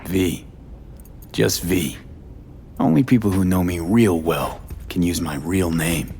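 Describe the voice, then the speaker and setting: A man's voice: deep voice, takes it slowly, warm tone, slight background noise. A man playing a jaded, sardonic mercenary in a video game, blunt and guarded, in clipped, terse phrases with dry sarcasm.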